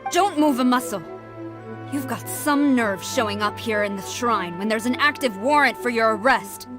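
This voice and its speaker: Actress as a stern female general, fairly high voice, formal, commanding, proud, anime game, music behind. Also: normal speed, close to an everyday voice. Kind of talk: anime video game voice acting.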